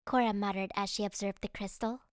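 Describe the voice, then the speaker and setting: A woman's voice: medium pitch, steady tone, a little fast, smooth, dry recording, studio quality. A woman reading scripted first-person fantasy narration as an audiobook narrator.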